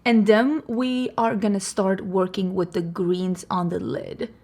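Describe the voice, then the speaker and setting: A woman's voice: medium pitch, level tone, unhurried, darkish tone. A woman from Sweden with a Swedish accent, chatting casually through a makeup tutorial in a self-deprecating, laughing way. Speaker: beauty vlogger.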